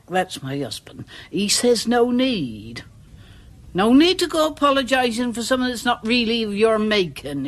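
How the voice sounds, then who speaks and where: An actress playing a superstitious, deferential village charwoman in a radio drama, with a rural English accent and a halting, folksy way of talking. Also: medium pitch, natural voice. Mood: apologetic, hesitant.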